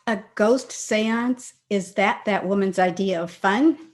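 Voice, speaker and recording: A woman's voice: mid-range voice, slow pace, lightly acted. An actress playing a nervous, clinging young lady in an old-time radio drama, speaking anxiously and pleadingly.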